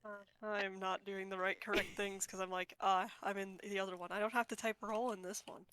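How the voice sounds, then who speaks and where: A woman's voice: mid-range voice, level tone, a little fast, fairly bright tone, rough recording. A woman chatting casually as a player in a tabletop roleplaying game.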